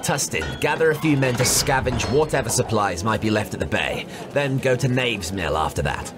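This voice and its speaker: A man plays a commanding soldier in an epic fantasy audio drama. His delivery is curt and impatient, swinging animatedly in pitch at a medium register, with music behind him. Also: expressive, normal speed, lightly acted. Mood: brisk. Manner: curt, exasperated, authoritative. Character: commanding, impatient young-to-middle-aged male soldier leader. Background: background music.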